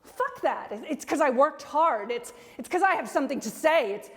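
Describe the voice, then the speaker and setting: A woman's voice: mid-range voice, normal speed, natural voice. A woman playing an outspoken, indignant film director in a dramatic monologue, defiant and sweary, tripping over repeated words. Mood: furious, impassioned. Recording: long echoing hall reverb.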